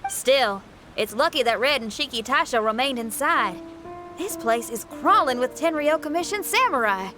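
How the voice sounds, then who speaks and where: A woman's voice: high-pitched, animated, normal speed, music in the background. A woman playing a spirited, loyal young female companion in a video game, with casual, folksy phrasing and a wry manner. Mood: wry, practical. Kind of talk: animated game voice acting.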